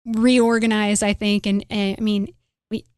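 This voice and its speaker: A woman hosting a radio talk show, speaking in a musing, drawn-out way. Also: medium pitch, level tone, a little mellow, clean tone, no echo, clean studio sound. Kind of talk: casual political talk.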